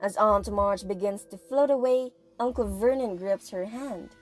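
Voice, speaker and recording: A woman narrating an audio play in a theatrical, suspenseful manner, pausing for effect. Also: mid-range voice. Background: music.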